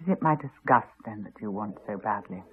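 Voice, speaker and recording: Weary wounded wife, strained restraint, lively intonation, 1980s radio drama, tinny narrow-band recording. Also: female voice, extremely low pitch, a little fast, slightly rough, full character voice. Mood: wary, hurt.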